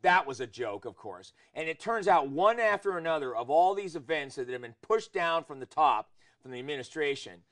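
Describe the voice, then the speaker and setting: A man's voice: a little high, expressive, moderate pace, fairly bright tone. A middle-aged American man hosting a political talk show, giving his opinions in conversation.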